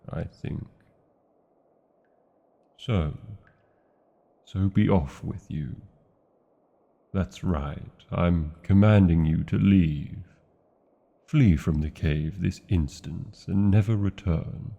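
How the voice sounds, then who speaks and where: An ancient British dragon for a fantasy romance roleplay. The voice is very low and gravelly, and it moves extremely slowly through grand, old-fashioned phrases with lingering pauses. The creature is huge and proud, yet lovesick. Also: male voice, mellow, dull audio, exaggerated voice. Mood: commanding, stern. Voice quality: gravelly, husky. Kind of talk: romantic fantasy ASMR roleplay, slow theatrical delivery.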